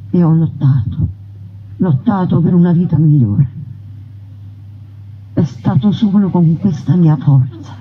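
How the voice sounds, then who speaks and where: A woman's voice: deep voice, a little hiss or hum. Woman playing Michelina, a defiant young bandit. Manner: slow, solemn, declamatory. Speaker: narrating voice in a stage piece. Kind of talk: theatrical first-person monologue. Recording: dull radio broadcast sound.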